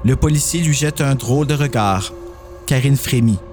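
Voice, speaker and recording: A man's voice: mid-range voice, moderate pace, darkish tone, faint music behind. A man reading scripted narration for a horror story dramatization.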